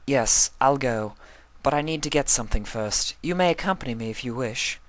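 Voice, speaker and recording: A man's voice: mid-range voice, lively intonation, moderate pace, a bit bright, no room sound, voice pushed up, close to an everyday voice. A man voicing a refined, dramatic female pony with a plaintive, elegant manner. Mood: composed.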